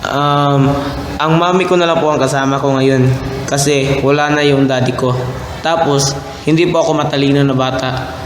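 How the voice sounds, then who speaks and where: A man's voice: medium pitch, steady tone, normal speed, lightly acted. A young Filipino man acting an earnest, polite and devoted boyfriend in an amateur radio drama. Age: young adult. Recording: roomy, echoey, noisy homemade recording.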